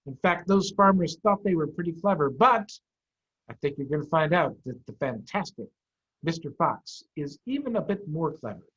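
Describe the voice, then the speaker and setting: Animated man reading to children, muffled audio. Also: a little high, normal speed, slightly rough. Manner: animated, addressing children with simple questions. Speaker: head of school reading aloud to pupils. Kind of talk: children's storytime read-aloud. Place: office. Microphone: video call. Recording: dull, lo-fi video-call audio.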